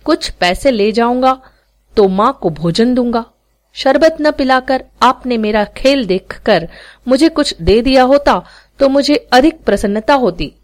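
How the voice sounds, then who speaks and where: Storybook role: proud young boy street performer, played by a woman. Fluent, confident, highish, slow delivery. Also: lively intonation. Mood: proud, determined. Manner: fluent, unhesitating, proud speech. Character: proud, confident, self-reliant teenage boy street magician.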